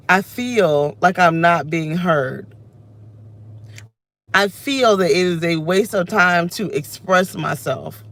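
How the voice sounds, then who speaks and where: A woman's voice: lowish voice, a bit bright. A woman in her thirties, with a scratchy voice, venting and restlessly interrupting herself into a phone camera while she sits in her car. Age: 30s. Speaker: vlogger. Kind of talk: unscripted personal vlog rant. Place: inside her parked car. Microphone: phone camera held close.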